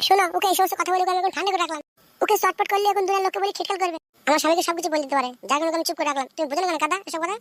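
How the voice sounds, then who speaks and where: A woman acting as a coy, defiant young Bengali village wife from a comic cartoon. Her voice sits extremely high and races along, wheedling one moment and bickering the next. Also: fairly even intonation, very fast. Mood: scheming.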